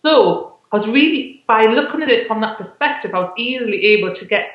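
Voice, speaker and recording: Woman, mid-pitched voice, reflective and rambling, breaks off mid-phrase, muffled echoey call recording. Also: animated, a little fast. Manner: reflective, rambling, self-interrupting. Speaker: co-host. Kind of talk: casual spiritual self-help chat. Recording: echoey, dull online call audio.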